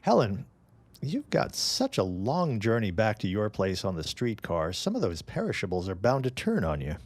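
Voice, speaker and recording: A courteous gentleman in a period radio drama. His voice is low and swings widely in pitch, genial and earnest, recorded close and dry. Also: huge pitch swings, normal speed, no room sound. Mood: eager, charming. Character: courteous, earnest widowed man, eager suitor, 1910s drama. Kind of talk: period audio drama acting.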